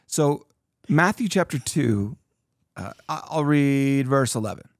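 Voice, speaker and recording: A man hosting a casual church podcast, talking with animated, preachy emphasis and reading scripture aloud. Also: medium pitch, a little slow, dry recording. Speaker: pastor and podcast host.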